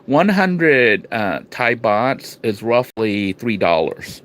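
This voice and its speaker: A man from the United States talking casually to his vlog viewers, rambling with restarts and fillers and swinging between low murmurs and sudden emphatic bursts. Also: very animated, takes it slowly, a bit bright. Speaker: vlogger. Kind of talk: casual vlog talk to camera.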